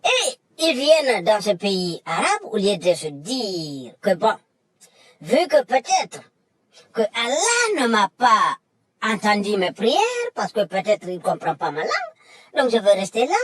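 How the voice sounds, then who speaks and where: A woman's voice: mid-range voice, very animated, a little slow, breathy edge. A woman delivering an emphatic, lecturing monologue that repeats its points. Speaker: commentator. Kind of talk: impassioned monologue.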